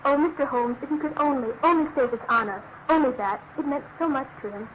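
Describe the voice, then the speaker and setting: An actress playing an earnest, anguished young British fiancée with an emphatic, halting delivery in a classic radio drama. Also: female voice, high-pitched, lightly acted. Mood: grieving, pleading. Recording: noisy, narrow-band old radio recording.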